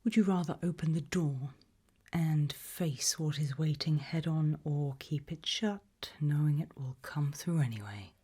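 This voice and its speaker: A woman speaks in a very breathy whisper, pitched low. She takes her time with each phrase in an unhurried ASMR role-play. Her delivery is measured and quietly ominous, addressed directly to the listener. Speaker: ASMR host playing a fear-test examiner.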